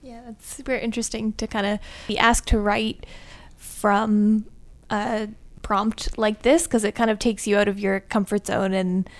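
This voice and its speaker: A woman's voice: mid-range voice, flat delivery, measured pace. A woman co-hosting a casual chat about writing. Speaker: podcast co-host.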